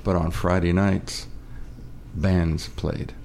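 Gravelly deep-voiced older Canadian man. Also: level tone, slow, darkish tone, slight background noise. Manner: dry, wry, anecdotal. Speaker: music industry guest. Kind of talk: casual interview. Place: radio studio.

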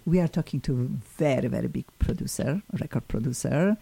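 Mellow Polish-accented woman radio host. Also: low-pitched. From Poland. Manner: chatty, effusive, digressive. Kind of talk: casual live talk show hosting. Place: radio studio.

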